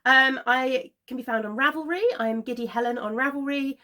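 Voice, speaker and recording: A woman in her thirties hosting a knitting podcast, chatting casually to camera from her sofa at home, slightly congested from a cold and filling pauses with frequent ums. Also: sits high, sharp tone. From Scotland. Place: living room at home, seated on a sofa.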